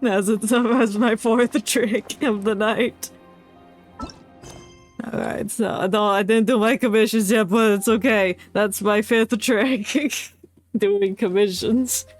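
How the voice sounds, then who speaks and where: A woman's voice: medium pitch, fairly even intonation, slow pace. A woman streamer giving casual, joking game commentary, playfully talking back at what she is playing. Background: game music.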